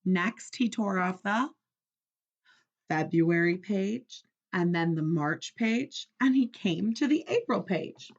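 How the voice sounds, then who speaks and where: Expressive, unhurried woman reading to children. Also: mid-range voice, dull audio. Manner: expressive, instructive, checking understanding. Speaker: teacher reading aloud. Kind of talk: children's read-aloud with questions to listeners.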